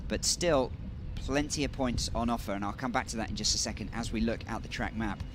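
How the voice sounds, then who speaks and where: A man giving live motorsport commentary as the race commentator, brisk and informative, leaning into the key moments. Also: lowish voice, noisy background.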